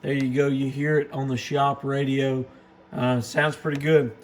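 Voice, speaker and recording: A man hosting a casual unboxing and gear demonstration, talking in a low-key, plain-spoken, understated way. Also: level tone, a little slow. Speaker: host of a radio gear review channel. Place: indoor workbench in a radio shop. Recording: clearly noisy room recording.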